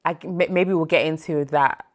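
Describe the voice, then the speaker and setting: A woman's voice: deep voice, fairly even intonation, normal speed. A woman, hosting an interview show and teasing her guest with amused laughs.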